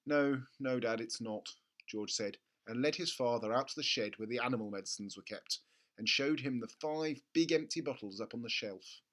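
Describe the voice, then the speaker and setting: A man reading a children's story aloud as an expressive narrator. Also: medium pitch, brisk. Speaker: storybook reader.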